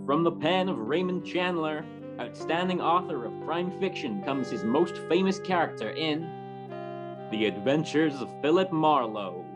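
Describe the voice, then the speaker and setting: An actor playing a formal, upbeat 1950s network radio announcer reading scripted promotional introductions. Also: male voice, a little high, lively intonation, normal speed, close to an everyday voice. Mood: formal, announcing. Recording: guitar music behind voice.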